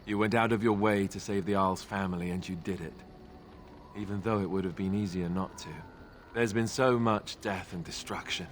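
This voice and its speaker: Fantasy game companion: young male warrior played by a man, low voice, earnest and a little hesitant, ambient noise behind. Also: fairly even intonation, moderate pace, close to an everyday voice. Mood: grateful, sombre. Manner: sincere, slightly hesitant. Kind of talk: fantasy video game dialogue acting.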